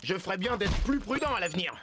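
A man voicing a chatty male cartoon zebra in a game dub, talking to himself with quick exclamations. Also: sits high, very animated, heavy background noise. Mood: wry. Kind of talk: video game character dub. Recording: game audio with loud music. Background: game music.

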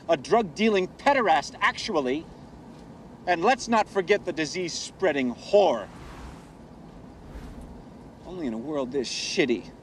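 A man's voice: a little high, a little hiss or hum, close to an everyday voice. An actor playing a cold, self-righteous male killer who speaks with cold scorn and contempt.

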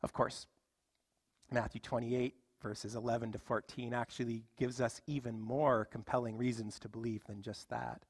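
A man's voice: medium pitch, flat delivery, moderate pace, breathy edge, no room sound. A man in his thirties preaching a sermon from a church stage, emphatic and full of rhetorical questions. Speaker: pastor. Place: church stage with music stands.

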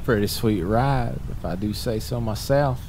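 An actor playing a menacing, smarmy male drifter in an audio drama, with a slow drawl. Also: mid-range voice, darkish tone, a little hiss or hum, close to an everyday voice. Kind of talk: radio horror drama.

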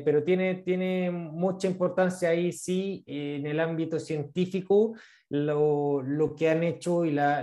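A man in his thirties with a Chilean accent, giving casual advice on a video call, fast and full of fillers and repeated words. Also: fairly high pitch, dark tone. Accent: Chilean Spanish. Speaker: guest speaker on international internships. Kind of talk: casual advice in an online talk. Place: small room indoors. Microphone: video call, earphone mic.

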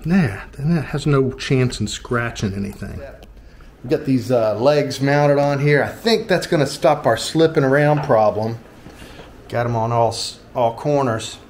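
A man's voice: medium pitch, a little slow, darkish tone. A man in his fifties with an American accent, chatting casually and thinking aloud as he demonstrates DIY, in a folksy, joking way. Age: 50s. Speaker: vlog host. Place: small tiled living room indoors. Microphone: handheld action camera, varying distance. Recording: echoey room, clear background noise.

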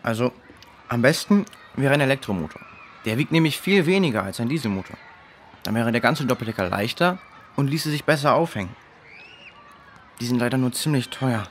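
A man's voice: mid-range voice, animated, brisk, pauses often, slight background noise, lightly acted. A man playing an earnest, trusting schoolboy tinkerer in a children's audio drama, with an explaining manner. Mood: thoughtful.

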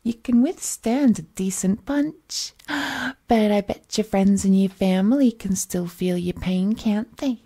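Roleplay audio villainess, played by a woman: doting, menacing captor, slow and dark-toned, slangy, coaxing, breathy. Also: mid-range voice. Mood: musing menace. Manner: coaxing, folksy slangy speech, drifting into breathy whispers. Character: unhinged possessive female supervillain kidnapper, sweetly menacing and doting. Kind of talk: roleplay audio acting, intimate monologue.

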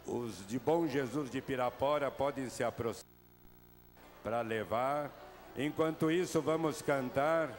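Older bishop on a handheld mic, slow and measured, mid pitch, big hall reverb. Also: male voice. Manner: slow, measured and familiar with the crowd. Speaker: bishop leading Mass. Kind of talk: celebrating Mass, closing rites and blessing. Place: huge covered hall full of worshippers. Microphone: handheld microphone. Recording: long reverb of a large hall, faint noise.

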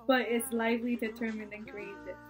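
A woman's voice: a little high, little pitch movement, brisk, faint music behind. A twenty-one-year-old woman giving short, laid-back answers and laughing easily in a casual chat with her sister. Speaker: guest sister. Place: bedroom, seated on a couch.

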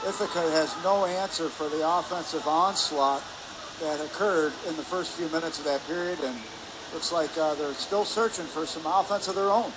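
A man giving live color commentary on a hockey game in measured, explanatory sentences with some hesitation. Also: fairly high pitch. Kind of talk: live sports analysis. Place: indoor ice rink stands. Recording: clear rink noise behind.

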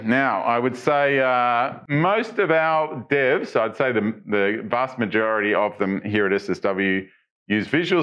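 A middle-aged man presenting tech news on a studio stage, talking casually through software features, asking viewers questions, with frequent ums and restarts. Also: on the low side, lo-fi sound. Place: studio stage with dark backdrop.

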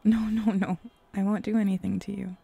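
A woman voicing a flirty, sly female hellhound in a roleplay ASMR audio drama, teasing and seductive with breathy, whispery turns. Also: little pitch movement, fairly quick, dark tone, soft background music. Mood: coaxing, flirty. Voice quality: low, husky, creaky.

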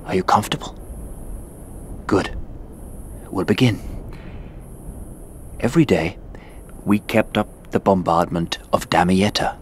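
Low, slow brooding crusade veteran. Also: male voice, a little hiss or hum. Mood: measured, grim. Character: brooding crusade veteran recounting war as bedtime story. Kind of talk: dramatic first-person storytelling. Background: music.

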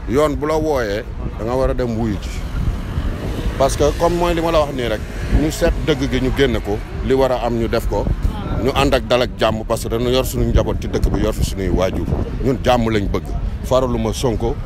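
A man's voice: medium pitch, moderate pace. Senegalese man interviewed on a noisy street. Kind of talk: conversational vox pop opinion. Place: outdoors by a street. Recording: clear traffic and street noise.

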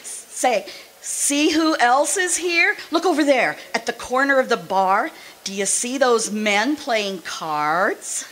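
Actress as gushing old-West saloon girl, radio play, high voice, very animated, slow drawn-out delivery, kindly, folksy. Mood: gentle, knowing. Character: kindly, excitable former gold-rush saloon girl, a ghost. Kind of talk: old-time radio drama acting.

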